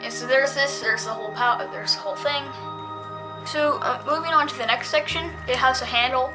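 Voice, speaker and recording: A high, female-sounding voice walks through a product review in a casual, off-the-cuff way. The delivery is hesitant and rambling, with some music behind it. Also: brisk. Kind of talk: casual unscripted product demonstration.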